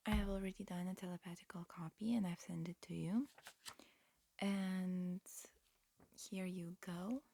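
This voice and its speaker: A woman performing a whispered ASMR roleplay, mixing breathy whispers with soft speech in a halting, matter-of-fact, instructional manner. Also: lowish voice, steady tone, slight background noise. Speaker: ASMR performer playing an alien examiner.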